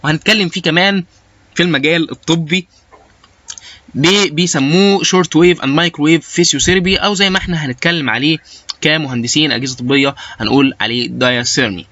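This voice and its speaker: A man's voice: on the high side, quick pace, sharp tone, no room sound, lo-fi sound. A man with an Egyptian Arabic accent giving an informal, explanatory lecture, dropping English technical terms into his speech. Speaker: lecturer, biomedical engineering instructor.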